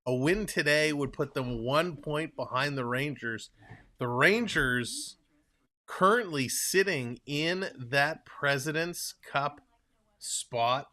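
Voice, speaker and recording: A man's voice: on the high side, expressive, takes it slowly, bright, forceful tone. Chatty Toronto man hosting a hockey stream. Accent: Toronto Canadian. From Canada. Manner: chatty, rambling, reads and answers chat aloud. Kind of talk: casual live stream chat with viewers.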